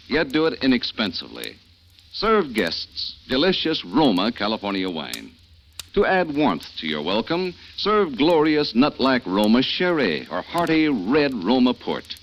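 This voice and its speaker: Man, 1940s radio announcer, sales pitch, animated delivery, lo-fi hiss. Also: measured pace. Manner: bright salesman's pitch, lively.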